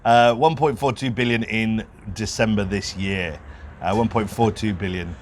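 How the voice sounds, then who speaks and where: A man's voice: fairly low pitch, fairly even intonation, moderate pace, slight background noise. A man co-hosting a property podcast in casual, unscripted chat, talking in a rambling, self-deprecating way. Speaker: podcast co-host, real estate broker.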